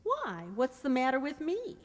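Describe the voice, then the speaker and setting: A woman acts a playful young mother in a radio comedy. Her fairly high voice swings lively through teasing banter. It carries the long reverb of a hall. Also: normal speed. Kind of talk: stage-style radio comedy acting. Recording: reverberant hall stage recording.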